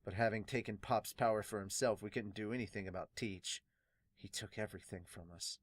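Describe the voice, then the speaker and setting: Guy reading as solemn old sea hand, measured n earnest, manga dub, dry clean sound. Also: fairly low pitch, fairly even intonation, no echo, pitched below the performer's own voice, close to an everyday voice. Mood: solemn, reflective. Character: weary, solemn veteran pirate doctor recounting his late captain.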